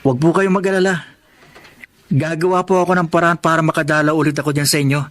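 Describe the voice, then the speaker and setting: Man acting as earnest young Filipino man, higher-pitched voice. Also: fairly even intonation, fast talker, no room sound, lightly acted. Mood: earnest, warm. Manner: earnest, polite, deferential. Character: hardworking, polite young orphan man seeking work. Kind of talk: Tagalog radio drama acting.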